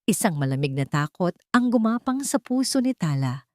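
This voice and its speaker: Woman storyteller, lowish voice, reading drama story, really dry close sound. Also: big pitch swings, moderate pace, darkish tone, dry recording. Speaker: narrator. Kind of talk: scripted dramatic audio story narration.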